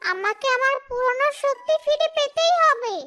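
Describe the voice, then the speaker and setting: A woman's voice: extremely high pitch, moderate pace. A woman voicing a grumpy, forgetful old female ghost who mutters schemes to herself in a cartoon, with music behind her. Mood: brooding, muddled. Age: older. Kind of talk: cartoon horror-comedy acting.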